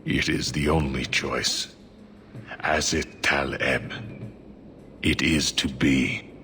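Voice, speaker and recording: A huge, devout horned warrior mage from a fantasy video game. The voice is extremely deep and slow, with a bright, forceful edge. The phrasing is halting, stoic and terse. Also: male voice, fairly even intonation, slight background noise, heavily acted. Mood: resolute. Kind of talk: video game cutscene acting.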